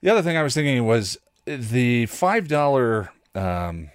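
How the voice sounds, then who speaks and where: A man hosting a casual solo podcast, talking in a rambling, halting way as he thinks aloud. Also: mid-range voice, sing-song, clean tone, dry recording, clean studio sound. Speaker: podcast host and content creator.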